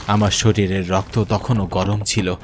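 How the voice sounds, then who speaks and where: A man's voice: lowish voice, little pitch movement, measured pace, noisy background. A man narrating a scripted first-person horror story in a grave, dramatic way.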